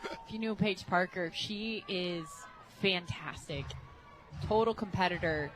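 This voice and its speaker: American woman giving softball color commentary. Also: fairly low pitch, a little slow, over music, poor audio. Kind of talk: conversational sports analysis and anecdotes. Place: radio booth at a ballpark.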